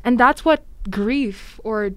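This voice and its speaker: A woman's voice: fairly high pitch, slow pace, fairly bright tone. A woman co-hosting a faith podcast, speaking earnestly and guiding the conversation. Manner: earnest, affirming, steers the talk. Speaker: podcast co-host. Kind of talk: casual faith podcast chat.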